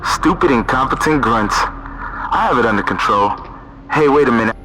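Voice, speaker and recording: Actor as a brash sidekick, noisy background. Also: male voice, medium pitch, expressive, moderate pace, natural voice. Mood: breezy, scornful. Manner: matey, dismissive. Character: brash, slangy tough-guy sidekick, scornful and easygoing. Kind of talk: video game cutscene acting.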